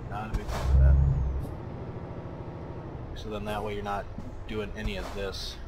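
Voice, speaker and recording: A man's voice: fairly low pitch, normal speed, very noisy. A man from the American Midwest casually commentating as he plays a driving game, chatty and rambling with lots of fillers. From Minnesota, United States. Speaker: let's play host.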